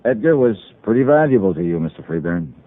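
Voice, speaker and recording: Tinny old radio, confident american private investigator, wry and punchy, pitch jumps around a lot. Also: male voice, sing-song, fast talker. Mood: pressing, accusing. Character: sharp, confident freelance insurance investigator, wry and accusing. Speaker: star of the radio series. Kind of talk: 1950s radio detective acting. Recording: tinny, band-limited old radio broadcast.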